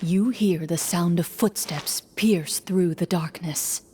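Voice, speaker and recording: A woman voicing a composed game master in an anime-style game, narrating in a measured, formal, dramatic way. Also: lowish voice, darkish tone, a little hiss or hum. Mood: solemn, measured.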